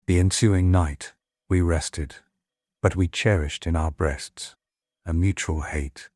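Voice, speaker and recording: A man's voice: low voice, steady tone, slow pace, a little mellow, dry recording, clean studio sound. An actor playing a grave, long-winded old warrior king, recounting war in stately oratory for an epic audiobook drama.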